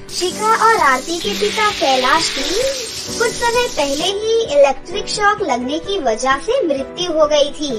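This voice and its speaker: A woman narrating a scripted children's moral tale as a storyteller. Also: high-pitched. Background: music.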